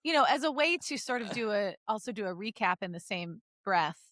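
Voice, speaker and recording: Animated, playful woman podcast co-host. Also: medium pitch, fairly quick, no room sound. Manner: playful, teasing, laughs often. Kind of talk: casual comedic banter.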